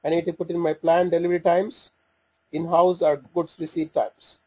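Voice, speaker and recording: A man giving an informal step-by-step software walkthrough, speaking haltingly as he thinks aloud while working through the screens. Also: a little high, little pitch movement, breathy edge. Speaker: software demonstrator. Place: voice-over for a screen recording.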